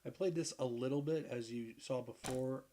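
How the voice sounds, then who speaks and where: A man with a naturally deep voice, roughened by a cold, talks through a puzzle game in a loose, rambling way. He clears his throat now and then. A faint hiss and some clicks sit in the background. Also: normal speed. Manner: relaxed, rambling, clears throat and laughs at himself. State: sick, hoarse from a cold. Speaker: gaming video creator. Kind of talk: casual gameplay commentary.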